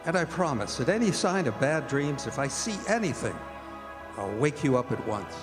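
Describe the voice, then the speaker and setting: A man's voice: mid-range voice, big pitch swings, normal speed, lightly acted. An earnest doctor and devoted fiancé, played by a man, narrating a stage radio play. His medium voice echoes in a hall, with music behind. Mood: tender, reassuring. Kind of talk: radio drama narration and dialogue.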